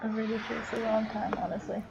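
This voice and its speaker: Gravelly young woman with stuffy nose. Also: fairly high pitch, level tone, fast. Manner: casual, short agreeing replies. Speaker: guest, host's youngest sister. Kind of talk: casual chat. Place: her parents' house. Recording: dull sound with clear background noise.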